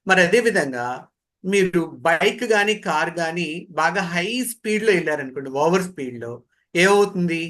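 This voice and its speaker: A man's voice: high-pitched, normal speed, fairly bright tone. A man giving an interactive training lecture in a didactic way, posing questions and repeating key phrases. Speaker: voice modulation trainer.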